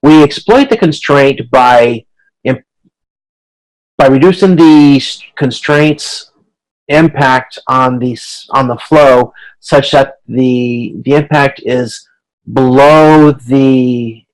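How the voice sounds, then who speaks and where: Male instructor, mid-range voice, informal explanatory delivery, halting and restarting often. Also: mid-range voice, poor audio. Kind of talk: informal explanatory lecture over video conference.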